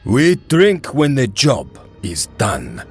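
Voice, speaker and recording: Man acting a slow, stern exiled archer. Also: mid-range voice, huge pitch swings, breathy edge, soft background music, full character voice. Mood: solemn, stern. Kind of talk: dramatic fantasy audio drama.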